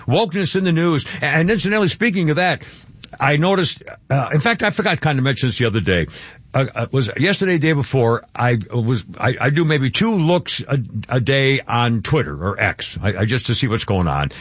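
A man's voice: medium pitch, lively intonation, a bit bright, no echo. Guy rambling on talk radio, lots of um and restarts, kinda muffled dry broadcast sound. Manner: rambling, halting, frequent restarts and fillers. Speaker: radio talk show host. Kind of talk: casual opinionated talk radio chat.

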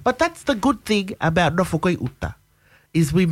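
A woman in casual chat, talking in a lively way and often checking in with tag questions. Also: on the low side, moderate pace, dry recording, studio quality. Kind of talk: casual chat mixing English and a Pacific language.

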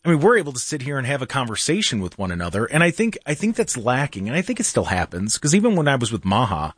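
A man hosting a talk radio show from a dry studio mic. His voice is a bit husky, and his pitch rises and falls a lot as he chats. He laughs often and restarts his sentences. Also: wildly swooping pitch, fast talker, no room sound. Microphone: studio microphone.

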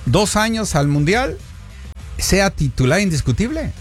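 A man banters through a sports talk show, joking and teasing as he answers viewer comments. His pitch swoops animatedly and sits a little high. Faint music plays underneath his voice. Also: very animated, unhurried, slightly rough. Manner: playful, teasing, jokes and laughs. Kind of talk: casual sports chat reading viewer comments.

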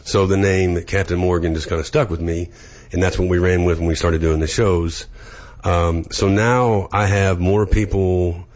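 Very deep-voiced male sports announcer. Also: flat delivery, moderate pace, darkish tone, dull audio. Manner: hesitant, many fillers and restarts, outgoing. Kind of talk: casual interview chat.

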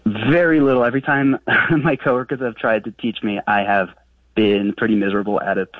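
A man's voice: fairly low pitch, fairly even intonation, fairly quick, no room sound, far from the mic. A man from France, a native French speaker, calling a radio show and chatting casually and a little hesitantly over a muffled phone line.

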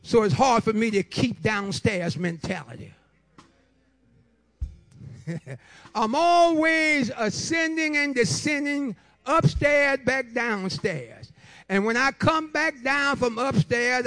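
A man in his seventies giving a fervent preaching testimony, repeating phrases rhythmically and breaking into shouts and half-sung lines. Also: very high-pitched, takes it slowly, no room sound, faint music behind. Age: 70s.

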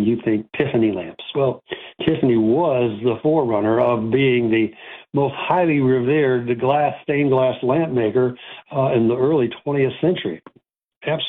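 Chatty rambling radio host, tinny. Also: male voice, mid-range voice, husky. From Rochester, New York. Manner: rambling, self-correcting, chatty. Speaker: radio show host, antiques auctioneer. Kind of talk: casual call-in radio talk.

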